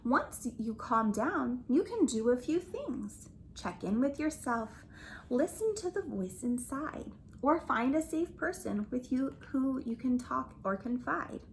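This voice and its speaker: A kind female yeti from a children's storybook speaks calmly and reassuringly, voiced by a woman reading aloud. She talks slowly with a high, lilting voice. A faint hiss of noise runs behind her. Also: heavily acted, low-quality recording. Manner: gentle, reassuring.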